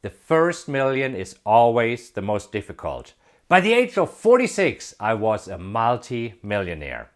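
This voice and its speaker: A man in his forties giving an emphatic motivational talk, asking rhetorical questions and building excitement. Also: mid-range voice, unhurried. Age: 40s. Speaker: self-help video presenter.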